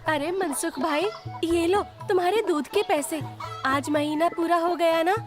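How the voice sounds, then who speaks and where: Woman voicing a high-pitched chatty village housewife. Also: measured pace, music in the background. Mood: friendly. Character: kind, trusting village housewife, chatty customer of milkman. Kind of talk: animated children's moral story dialogue.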